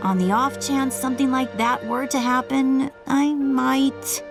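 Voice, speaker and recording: High-pitched cheeky young woman. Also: steady tone, a little slow, over music. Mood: stubborn. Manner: playful, breezy. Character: cheeky, stubborn, spirited young woman doctor. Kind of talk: video game voice acting.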